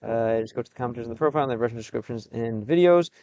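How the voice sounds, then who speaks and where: A young American man chatting with his stream viewers, switching between English and halting Russian, pausing often as he reads the chat. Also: medium pitch, a little mellow, no echo, highs cut off. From United States.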